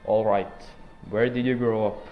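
A man's voice: on the low side, level tone, measured pace, phone-quality sound, faint music behind. A teenage boy as a podcast guest, giving laid-back, rambling joke answers full of fillers. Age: teens. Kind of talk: joking student podcast interview.

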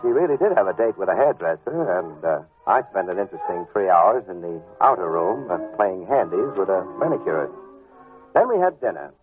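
Casting: laid-back American private detective, lowish voice, wry and hesitant delivery, old muffled radio drama sound. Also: male voice, big pitch swings, brisk. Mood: wry, storytelling. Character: wry, easygoing male private detective narrating his case. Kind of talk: old-time radio detective drama acting and first-person narration. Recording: muffled vintage radio recording with music.

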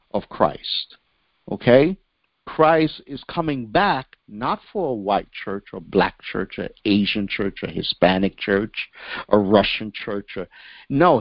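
A male pastor gives a Bible lesson with emphatic phrasing, often stopping to check that listeners follow. His voice sits at a middling pitch. The audio is dry and slightly muffled, with a lo-fi sound. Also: no room sound. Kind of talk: church Bible teaching with read-out passages. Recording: dull lo-fi close dry audio.

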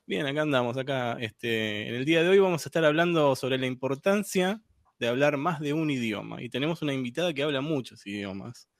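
A man hosts a podcast in a loose, chatty way, leaning on filler words. His voice is mid-pitched. The sound is dry and close. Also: animated, fairly quick, no room sound. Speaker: podcast host. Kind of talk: casual podcast interview.

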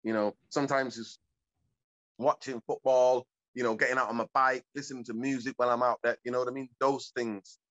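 A man's voice: medium pitch, little pitch movement, brisk, a bit bright, lo-fi sound. A man from East Africa talking reflectively in a casual conversation, rambling and often checking in with "you know what I mean". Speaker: guest.

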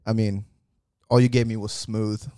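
A man's voice: fairly low pitch, level tone, normal speed, warm tone, smooth, dry recording, studio quality. A young man co-hosting a casual podcast with a friend, laid-back and slangy, swearing casually. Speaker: podcast co-host. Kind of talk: casual chat.

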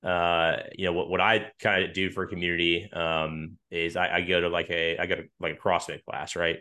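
A man's voice: sits low, flat delivery, brisk, pushed and bright. A man in his thirties hosting a podcast, chatting casually and informally as he interviews a guest. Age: 30s. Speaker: podcast host.

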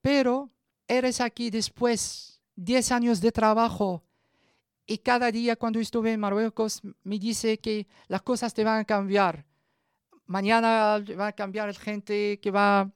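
A woman in her thirties with a Moroccan accent gives a personal testimony, haltingly searching for words in a language that is not her first. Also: medium pitch, fairly even intonation, clean tone, no echo, clean studio sound. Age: 30s. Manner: halting, searching for words in non-native Spanish. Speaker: radio guest giving a personal testimony.

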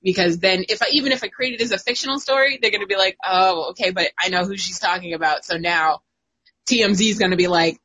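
Woman, 30s, bright husky voice, giggly and animated, casual guest storyteller. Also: mid-range voice, fast talker, rough recording. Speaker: guest writer. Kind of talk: casual chat.

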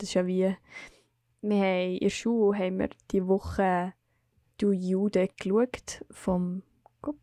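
Woman podcast co-host, gravelly and flat, dry close sound, chatty. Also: medium pitch, dry recording. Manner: conversational, agreeing, thinking aloud. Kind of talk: casual discussion.

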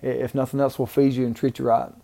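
A man's voice: mid-range voice, level tone, fast, vocal fry, warm tone. A man from Mississippi with a Southern accent, rambling through a casual solo podcast with many ums and restarts. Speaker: podcast host. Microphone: home microphone, amateur setup.

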